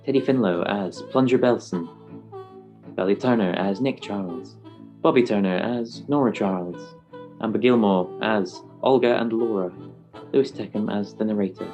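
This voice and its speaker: A man's voice: lowish voice, a little mellow, natural voice. A man playing an old-time radio show announcer, reading scripted introductions.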